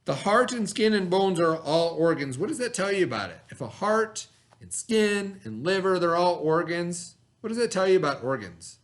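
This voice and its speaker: A man's voice: high voice, big pitch swings, moderate pace. A man teaching a read-aloud lesson for children, speaking instructively, repeating key words and prompting with questions.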